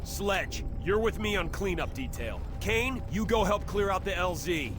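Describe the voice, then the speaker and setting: A man's voice: high voice, lively intonation, measured pace. A man playing an authoritative marine lieutenant in a military shooter game, barking orders to his squad. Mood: commanding. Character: commanding male marine lieutenant leading a squad. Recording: loud music and battle sounds behind.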